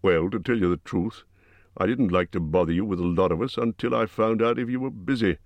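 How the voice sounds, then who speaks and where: A man voicing an old wizard, calm, measured and tactful. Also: lowish voice, dry recording, natural voice.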